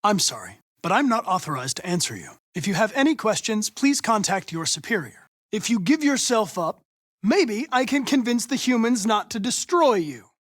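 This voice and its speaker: A man with an American accent playing a polite, determined young android police investigator in a video game, speaking in a precise, formal, measured way. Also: high voice, very animated, a bit bright, heavily acted. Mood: calm, polite. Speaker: actor voicing a video game character.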